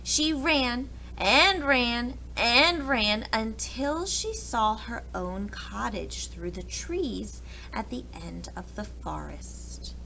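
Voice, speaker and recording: A woman reads a picture-book tale to young children in a highly expressive, bright voice. Her phrasing is slow, dramatic and deliberate, over faint background noise. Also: creaky, poor audio. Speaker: preschool read-aloud storyteller. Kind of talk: expressive children's story narration.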